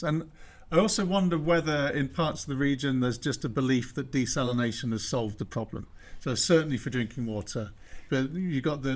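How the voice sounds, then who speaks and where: Man, podcast host, mid-pitched, conversational, asks curious questions. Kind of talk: podcast interview.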